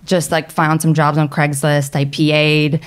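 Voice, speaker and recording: Chatty woman from Bulgaria, low voice. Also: flat delivery, unhurried, clear, resonant tone. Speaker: guest filmmaker. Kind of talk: casual interview chat.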